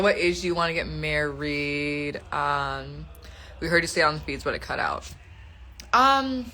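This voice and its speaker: Twenty-something woman on a phone live stream, bright sharp voice, chatty and laughy, answering fans. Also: on the low side. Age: 20s. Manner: chatty, laughs often, reads out viewer questions. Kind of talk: casual live stream chat.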